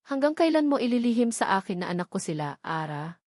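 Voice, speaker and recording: A woman's voice: mid-range voice, sing-song, fairly quick, a little mellow, no room sound. An anguished male doctor from a romance audio story, voiced by a woman narrator. He speaks as a betrayed lover, wounded and angry. The recording is close and dry. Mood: heavy, restrained.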